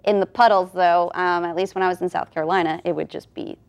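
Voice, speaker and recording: A woman's voice: fairly low pitch, steady tone, fast talker, gravelly. A woman in her thirties, a morning show meteorologist, friendly and conversational while giving the forecast. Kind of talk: weather forecast and casual chat.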